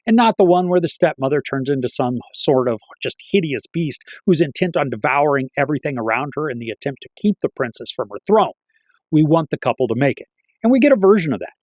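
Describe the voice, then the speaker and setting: A man's voice: a little high, darkish tone, dry recording. A middle-aged man hosting a review show, delivering a scripted, articulate and wryly opinionated critique. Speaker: film reviewer and channel host. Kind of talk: scripted movie review to camera.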